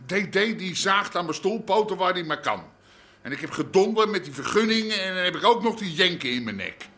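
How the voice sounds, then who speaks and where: An actor playing a harried, stubborn middle-aged crime boss and husband in a radio drama, blustery and colloquial, with an Amsterdam accent. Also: male voice, high voice, animated, a little fast, pushed and bright. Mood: irritated, defensive. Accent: Amsterdam Dutch. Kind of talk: naturalistic radio drama acting.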